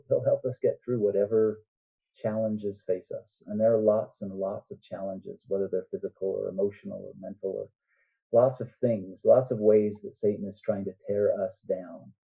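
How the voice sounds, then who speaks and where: A man speaking as a guest author in an interview, in an earnest, halting manner with deliberate pauses. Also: on the low side, steady tone, vocal fry, warm tone. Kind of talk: conversational interview answers. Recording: muffled, dull band-limited sound.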